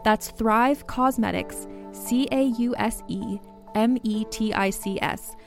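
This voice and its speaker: A woman's voice: on the high side, slow, creaky. A woman giving a conversational podcast ad read in a personal, testimonial style. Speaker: ad reader. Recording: music bed behind voice. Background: music.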